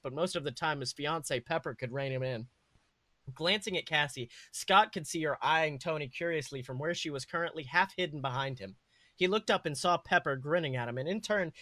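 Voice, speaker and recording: A man narrating a story aloud as a podcast host, animated and sometimes stumbling over the text. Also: fairly high pitch, no echo. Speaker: podcast host reading fan fiction.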